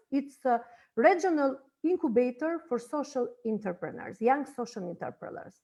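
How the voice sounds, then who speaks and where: A woman, an English speaker, presenting a project in an online info session and pausing often to search for words. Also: a little high, darkish tone. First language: Albanian. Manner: halting, searching for words in a second language. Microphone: video call.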